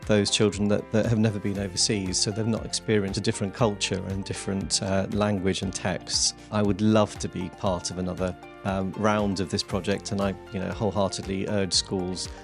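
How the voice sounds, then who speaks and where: Teacher, man, 40s, earnest interview voice, low-pitched, music underneath. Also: level tone, normal speed, gravelly, hardly any pauses. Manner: earnest, with many fillers. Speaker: school teacher. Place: classroom. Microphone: handheld mic. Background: soft guitar music.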